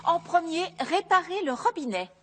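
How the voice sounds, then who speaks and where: Naggy cartoon mum, super high n lively, no-nonsense, music behind. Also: female voice, normal speed. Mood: insistent. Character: no-nonsense wife and mother, nagging yet affectionate. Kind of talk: animated children's cartoon dub.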